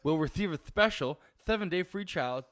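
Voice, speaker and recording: Jokey young man with a lively high voice. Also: normal speed. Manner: jokey, reads ad copy with a put-on lisp. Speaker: podcast co-host. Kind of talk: casual comedic chat.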